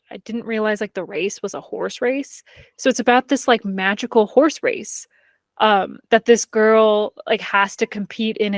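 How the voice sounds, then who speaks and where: A woman with a medium-pitched voice talks like she is chatting with a friend on a podcast. Her speech is informal and full of "like". The recording is dry, with hardly any room sound. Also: no room sound. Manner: informal, conversational, peppered with "like". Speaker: podcast co-host. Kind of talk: casual chat about books and TV.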